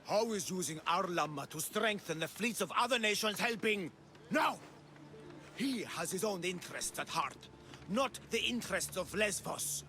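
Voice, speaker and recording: A man's voice: high voice, expressive, normal speed, pushed and bright, slight background noise, exaggerated voice. A man playing a disgruntled, scheming islander in a video game, with an indignant, sardonic delivery. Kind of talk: video game cutscene acting.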